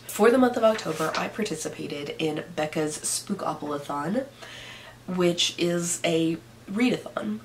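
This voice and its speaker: Hoarse young woman book vlogger, low-ish voice, unhurried casual chat, faint hiss behind. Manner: chatty, counts dice rolls aloud. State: hoarse, recovering from illness. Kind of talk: casual reading wrap-up vlog. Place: home room at night.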